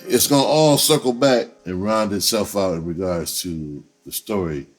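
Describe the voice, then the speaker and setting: A man's voice: low-pitched, normal speed, faint music behind. A man speaking in a casual storytelling monologue, conversational and profane, with streetwise slang. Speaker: entertainer telling personal stories on own channel.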